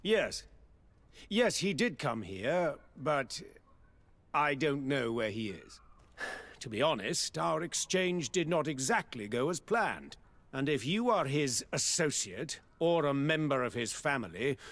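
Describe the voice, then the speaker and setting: An actor playing an evasive, grudging merchant in a video game, defensive and brusque in manner. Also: male voice, on the high side, lively intonation, unhurried, a bit bright. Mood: guarded. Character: evasive, grudging male merchant client owed money.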